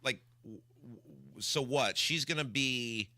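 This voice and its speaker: A man's voice: medium pitch, takes it slowly, bright, forceful tone. A man hosting a radio talk show, giving opinionated banter in an emphatic, exasperated, repetitive ranting style.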